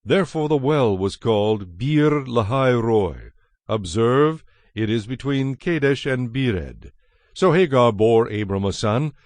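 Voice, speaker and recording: A man giving a solemn, measured scripted narration of scripture in a dramatized style. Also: mid-range voice, darkish tone, no echo. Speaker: Bible narrator. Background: music.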